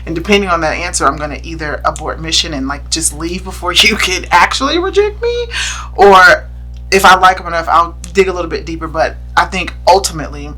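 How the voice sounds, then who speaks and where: A woman's voice: lowish voice, brisk, slightly rough. A woman in her thirties, a podcast guest, speaking candidly and chattily. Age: 30s. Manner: candid, chatty, animated storytelling. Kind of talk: casual podcast chat.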